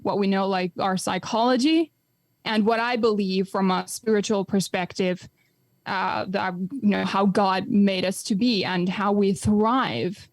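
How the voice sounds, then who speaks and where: A woman's voice: medium pitch, no echo. A woman in her twenties from Finland, a podcast guest talking in a reflective, casual way with frequent fillers and restarts. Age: 20s.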